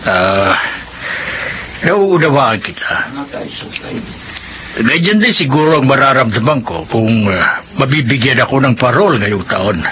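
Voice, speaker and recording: An actor playing a sympathetic, encouraging Filipino prisoner in a radio drama. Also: male voice, mid-range voice, a little slow, pauses often. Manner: expressive, encouraging. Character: chatty, sympathetic male cellmate awaiting parole. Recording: dull lo-fi radio drama, faint noise.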